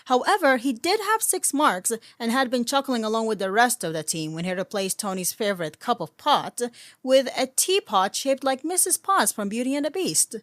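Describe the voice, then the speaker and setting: A woman's voice: a little high, animated, moderate pace, fairly bright tone, no echo, clean studio sound. A woman reading fan fiction aloud as a narrator, in a scripted storytelling style.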